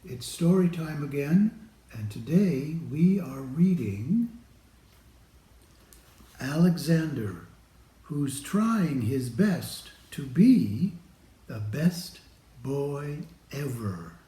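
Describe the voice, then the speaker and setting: A man reading a children's storybook aloud in a deliberate, expressive way, addressing young listeners. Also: fairly high pitch. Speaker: storybook reader. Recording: echoey room with clear background noise.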